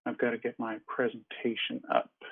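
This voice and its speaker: A man's voice: medium pitch, expressive, fairly quick, vocal fry, breathy edge. A man presents a working group update on a conference call. He speaks hesitantly, with many ums. The line is tinny and phone-like.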